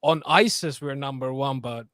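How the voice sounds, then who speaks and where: A man in his thirties, from Turkey and living in Finland, a journalist guest chatting offhandedly with quick restarts. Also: medium pitch, a bit bright, clear, resonant tone, no echo, clean studio sound. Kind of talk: casual interview chat.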